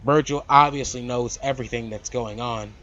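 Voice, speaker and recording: A man hosting a let's play, reading a visual novel aloud with animated, slangy casual asides. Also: mid-range voice, moderate pace, highs cut off. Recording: loud background music. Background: game music.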